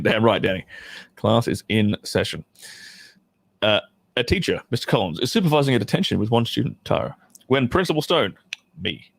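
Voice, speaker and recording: Male streamer, medium pitch, casual and mildly sweary, thinks aloud while reading to viewers, dry sound. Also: no echo. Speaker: adult film actor reading a script to viewers. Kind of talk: casual livestream chat with script reading.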